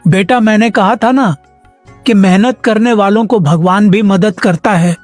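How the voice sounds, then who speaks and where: A man playing a kindly, wise old village grandfather who gives gentle advice in a moral story. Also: high-pitched, measured pace, no echo, soft background music. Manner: gentle, advising. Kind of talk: scripted animated moral story dialogue.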